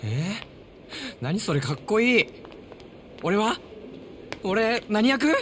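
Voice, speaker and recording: Slow, animated, grumbling sidekick. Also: male voice, high-pitched, a little hiss or hum, heavily acted. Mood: excited, surprised. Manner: casual, rough, complaining. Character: grumbling, excitable man, comic sidekick. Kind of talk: lively Japanese game dub acting.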